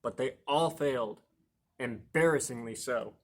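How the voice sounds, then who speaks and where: A man reading a children's picture book aloud as a storytime host, playful and expressive, with emphatic words and chatty asides to young listeners. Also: mid-range voice, unhurried, a little hiss or hum. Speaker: library storytime reader and host.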